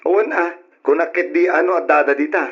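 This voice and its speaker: Husky, highish Ilocano husband. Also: male voice, fairly quick. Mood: businesslike. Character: hardworking husband working abroad, family-minded. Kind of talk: old-style Ilocano radio drama acting. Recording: dull lo-fi radio sound.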